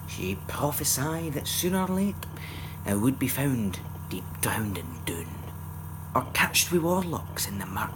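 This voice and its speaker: Male Scottish poetry reciter. Unhurried, expressive storytelling with wide swings in pitch; noticeable background hum on the recording. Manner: theatrical, expressive storytelling delivery. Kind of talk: dramatic recitation of Scots narrative verse.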